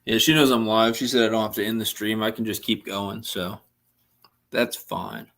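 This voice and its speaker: A man's voice: lowish voice, fairly even intonation, normal speed, a bit bright. A young man hosting a casual fishing livestream, chatting and answering viewers' messages, his voice a little worn from talking. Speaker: livestream host.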